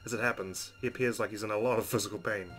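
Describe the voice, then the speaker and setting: A man's voice: fairly low pitch, fairly even intonation, rapid-fire, fairly bright tone. A man hosting a game playthrough, reading the story narration aloud expressively. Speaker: let's play host reading game narration. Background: game music.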